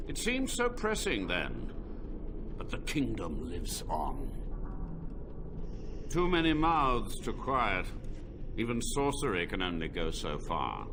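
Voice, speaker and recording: An actor playing an aged, scheming male blood mage scholar who speaks in a cold, arrogant, measured way. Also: medium pitch.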